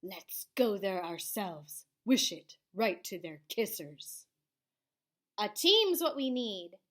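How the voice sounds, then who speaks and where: A friendly big-nosed storybook monster, voiced by a woman reader. It is mischievous and eager to share adventures. The speech is slow and animated. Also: medium pitch, voice pushed down, full character voice. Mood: conspiratorial.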